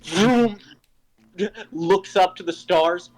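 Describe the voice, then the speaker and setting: Casual story show co-host, mid-pitched, rambling and jokey, music behind. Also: rough recording. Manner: rambling, halting, animated joking. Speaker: co-host of a fan fiction reading show. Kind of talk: casual chat, critique. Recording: music playing underneath.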